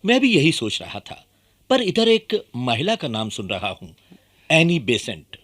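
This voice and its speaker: Very animated, inquisitive activist man. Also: medium pitch, unhurried, full character voice. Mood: curious, concerned. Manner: inquisitive, conversational. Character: earnest, curious male nationalist activist chatting with a journalist. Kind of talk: radio play dialogue.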